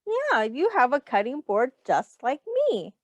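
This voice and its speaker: High, animated woman reading to children. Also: dry recording. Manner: instructive, explains in simple words, repeats and counts aloud; addresses children directly, pausing for their answers. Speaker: early childhood teacher reading a picture book aloud. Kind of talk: children's read-aloud with questions to young listeners.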